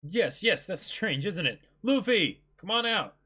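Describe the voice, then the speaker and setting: A man's voice: high-pitched, big pitch swings, unhurried, sharp tone, pitched above the performer's own voice, heavily acted. A man voicing a silly, genial manga author answering fan questions in a playful, exaggerated way. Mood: puzzled, playful.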